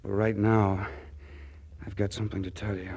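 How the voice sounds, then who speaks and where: A man's voice: on the low side, flat delivery, moderate pace, darkish tone, husky. An actor playing a naive, bashful small-town young man with a hesitant stammer in a 1940s radio comedy. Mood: shy, hesitant. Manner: stammering, hesitant, with goofy earnestness. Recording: noisy 1940s radio broadcast recording.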